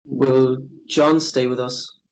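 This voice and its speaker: A man reading the parts of innocent young boys in a drama table read, casual and halting. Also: mid-range voice, flat delivery, darkish tone, low-quality recording. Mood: hopeful, questioning.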